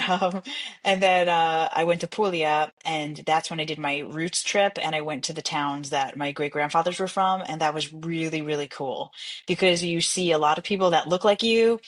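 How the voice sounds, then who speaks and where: A young woman with a New York accent chats as an interview guest, her voice sitting a little low for a woman. She tells stories with animated energy, breaking into quick laughs and trailing through plenty of ums and likes. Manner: animated storyteller, laughs often, many fillers. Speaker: jazz singer, podcast guest. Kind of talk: casual interview chat.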